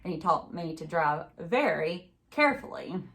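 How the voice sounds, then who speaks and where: A woman's voice: on the low side, animated, normal speed, fairly bright tone. Woman voicing an earnest, plain-spoken young girl.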